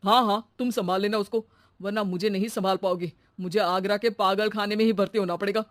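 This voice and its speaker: A man's voice: sits high, fairly even intonation, fairly quick, fairly bright tone, dry recording. A man plays a frazzled cartoon husband at the end of his patience. He complains with comic melodrama in a close, dry recording. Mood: complaining, frazzled. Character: exasperated, theatrical male householder, easily driven mad. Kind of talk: exaggerated Hindi cartoon comedy acting.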